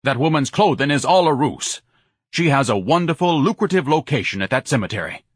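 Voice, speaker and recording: A man's voice: medium pitch, sing-song, normal speed, slightly rough, no echo, studio quality, pitched above the performer's own voice. A man doing a pompous, indignant grown son. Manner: grandiloquent, huffy, loud.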